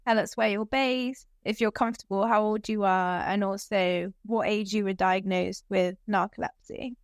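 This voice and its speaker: A woman's voice: medium pitch, fairly even intonation, normal speed, smooth, no room sound, clean studio sound. A woman co-hosting a podcast who asks curious, encouraging questions. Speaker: podcast co-host. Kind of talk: casual interview chat.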